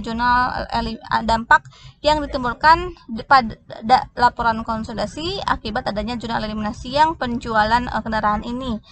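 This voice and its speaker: A woman giving a step-by-step explanatory lesson as a lecturer, in a halting way, often restarting words. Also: on the high side, a bit bright. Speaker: accounting lecturer. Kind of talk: explanatory lesson walking through worked examples. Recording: noticeable background noise.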